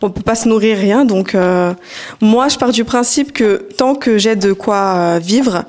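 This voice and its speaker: A woman's voice: mid-range voice, measured pace, darkish tone. A woman in her twenties on a dating show, assertive and argumentative. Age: 20s.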